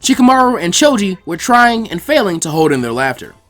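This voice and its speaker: Lively story narrator over music. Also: medium pitch, fairly quick. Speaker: fan fiction reader, narrator. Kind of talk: scripted story narration with character voices.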